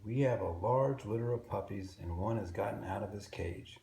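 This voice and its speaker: A man reading a picture book aloud to young children in an expressive, simple storytelling way. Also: fairly low pitch, moderate pace. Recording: roomy echo with faint background noise.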